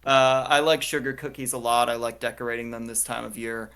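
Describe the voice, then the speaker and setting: A woman's voice: a bass voice, fairly even intonation, brisk, fairly bright tone, clean tone. A woman photographer on a video call, talking in a dry, self-deprecating way with many hesitations. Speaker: photographer guest. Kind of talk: casual chat on a video call.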